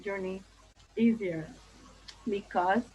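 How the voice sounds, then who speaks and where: Slow, hesitant Indonesian-accented young woman. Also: medium pitch, darkish tone, slight background noise, poor audio. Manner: careful, hesitant, many fillers and restarts. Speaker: thesis candidate. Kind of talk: thesis defense presentation.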